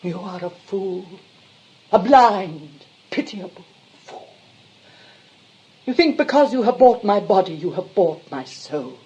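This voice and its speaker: A woman's voice: medium pitch, animated, takes it slowly, long pauses, mellow, muffled recording. A woman playing a vain, self-dramatising retired actress and mother in a stage comedy, with a British accent and a grand, histrionic manner. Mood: melodramatic. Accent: British English. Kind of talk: theatrical stage comedy acting.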